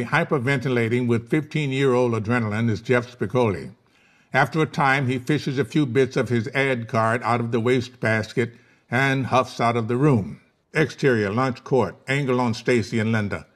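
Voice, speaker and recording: A man's voice: medium pitch, moderate pace. A man reading scene headings and stage directions as narrator in a scripted table read.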